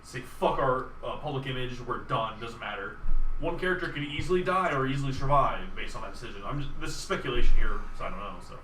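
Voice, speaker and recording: A man's voice: medium pitch, animated, quick pace, sharp tone. A man chatting casually about video games as a podcast host, with an enthusiastic and casually profane manner. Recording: roomy, distant mic, faint noise.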